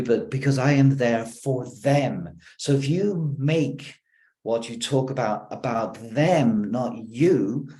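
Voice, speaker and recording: An Irish man, a professor, giving a conversational talk on communication over a video call, with quick bursts of speech broken by deliberate pauses. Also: fairly low pitch, darkish tone. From Ireland.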